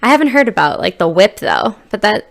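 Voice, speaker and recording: A woman's voice: mid-range voice, big pitch swings, fairly quick, creaky. A woman hosting an interview, bubbly and affirming, laughing often between questions. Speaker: interview host. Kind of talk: casual interview chat.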